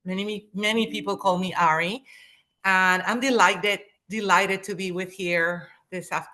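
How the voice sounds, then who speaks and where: A woman's voice: medium pitch, fairly even intonation, a little slow, bright, forceful tone. A woman from Panama, presenting a webinar as a linguist in an enthusiastic, welcoming way over a video call.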